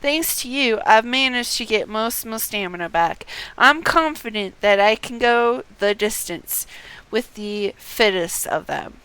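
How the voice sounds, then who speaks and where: A woman's voice: fairly high pitch, unhurried, fairly bright tone, clear, resonant tone, rough recording. A woman voicing a hearty, determined old male martial arts trainer, reading game dialogue aloud with emphasis. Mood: hearty, eager.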